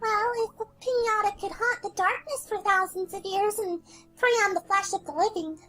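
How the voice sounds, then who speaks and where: A woman's voice: very high-pitched, fairly even intonation, moderate pace, pushed and bright, faint music behind. A woman voicing a flippant yet grim pink pony heroine with a wry, musing manner in a fan audio story. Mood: musing, absent.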